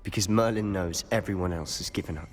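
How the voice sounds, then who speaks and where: A man's voice: low-pitched, quick pace. A man with a British accent playing a cocky, self-assured teenage wizard schoolboy in a fantasy video game. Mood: earnest. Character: cocky, loyal teenage wizard schoolboy, sly rule-breaker. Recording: music behind the voice.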